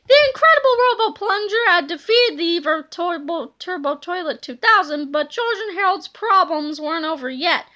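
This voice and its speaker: A woman narrating a children's book aloud in an expressive, dramatic way. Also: extremely high pitch, normal speed, hardly any pauses, lo-fi sound.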